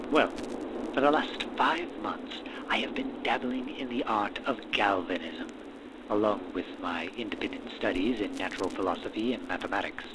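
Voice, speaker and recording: Man acting a deep-voiced manic mad scientist, stormy backdrop. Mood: proud, fascinated. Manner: florid, formal, dramatic pauses and sudden emphasis. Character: manic, ambitious male mad scientist, courteous and grandiose. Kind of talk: gothic horror audio roleplay, theatrical monologue. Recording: steady rain and thunderstorm noise behind. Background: rain and thunderstorm ambience.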